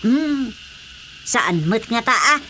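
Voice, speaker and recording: A woman's voice: a little high, expressive, slow pace, sharp tone, a little hiss or hum, full character voice. A woman playing a gossipy, complaining village woman in an Ilocano radio drama comedy. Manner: exclamatory, gossipy.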